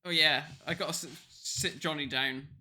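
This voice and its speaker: A man's voice: on the high side, steady tone, normal speed, pushed and bright, slight background noise. A man co-hosting a podcast commentary, chatting casually in colloquial, sweary language. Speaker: podcast co-host.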